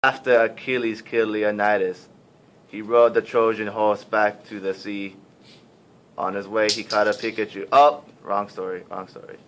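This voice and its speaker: A man's voice: flat delivery, normal speed. A man narrating an amateur puppet show in a casual, slangy storytelling style. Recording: faint noise and rumble, amateur recording.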